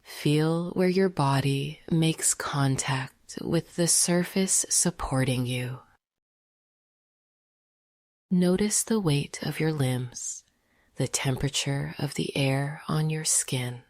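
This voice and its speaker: A woman leading a guided meditation in a soft, half-whispered, gentle and soothing voice. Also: sits low, takes it slowly, no echo, clean studio sound.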